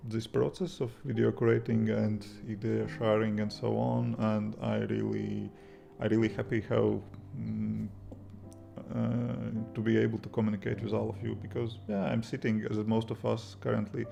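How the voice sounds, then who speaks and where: A young man with a Latvian accent chats casually from home on his livestream, restarting his sentences as he goes. His voice is low and gravelly, with a flat, even tone. Guitar music plays clearly behind him. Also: lo-fi sound. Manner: halting, restarts often, chuckles softly. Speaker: livestream host, iOS developer. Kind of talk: casual livestream chat reading viewer comments.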